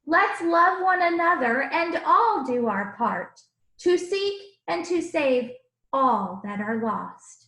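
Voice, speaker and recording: A woman voicing a gentle, earnest Jesus who tells his own storybook tale in rhythmic rhyme. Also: high-pitched, sing-song, takes it slowly, pitched above the performer's own voice, exaggerated voice. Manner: rhythmic rhyming storybook delivery. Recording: roomy, echoing room sound.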